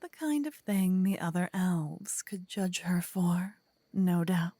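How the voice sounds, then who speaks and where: A woman's voice: on the low side, expressive, slow, warm tone, no echo, full character voice. A woman voicing a possessive, teasing demon queen in a fantasy ASMR audio roleplay, theatrical and gloating. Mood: scornful, possessive.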